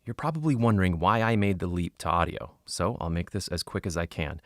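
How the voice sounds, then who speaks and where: Skeptical male paranormal investigator, American, low register, casual wry podcast narration for an audio drama. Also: fairly quick, no echo, clean studio sound. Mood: confiding. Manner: casual, confiding, wry, swears. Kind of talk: scripted narration and field recording in audio drama.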